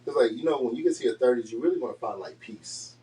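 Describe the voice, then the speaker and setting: Fast-talking man in his thirties. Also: medium pitch, dark tone, recorded from a distance. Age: 30s. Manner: fast, filler-heavy talk with many "like"s. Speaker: podcast co-host. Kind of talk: casual chat.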